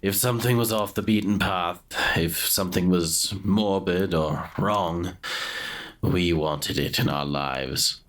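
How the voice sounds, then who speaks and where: A man playing a haunted, guilt-ridden young grave robber who narrates his ruin in a brooding, deliberate way for an audio drama, with noise behind him. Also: sits low, a bit bright. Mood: grim, reflective. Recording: clear background noise under the voice.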